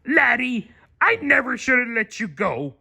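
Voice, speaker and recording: A blustery old cartoon crab boss, raised high and forceful. He is penny-pinching and emphatic, with big swings in pitch. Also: big pitch swings, unhurried, creaky, slightly rough, exaggerated voice. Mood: remorseful. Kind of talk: cartoon character voice in a storybook read-aloud.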